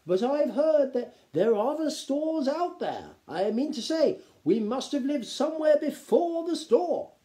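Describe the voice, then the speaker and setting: Minor gnome nobleman for a storybook, played by a man: high, swooping, apologetic doubter. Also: big pitch swings, measured pace, few pauses, mellow, full character voice. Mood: hesitant doubt. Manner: tentative, apologetic, roundabout. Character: hesitant minor gnome lord, politely doubtful.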